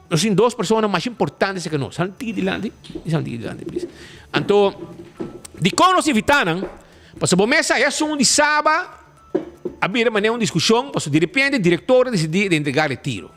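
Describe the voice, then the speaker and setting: A man hosting a live show, announcing prizes and talking through a local dispute with animated, stumbling restarts. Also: high voice. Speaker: show host. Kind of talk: casual live show chat and commentary.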